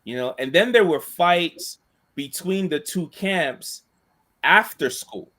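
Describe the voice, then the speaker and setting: A man in casual chat who tells a long story in a monotone voice, rambling with many restarts and asides. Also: a little high, takes it slowly. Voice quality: monotone voice, self-described. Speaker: co-host and school staff member.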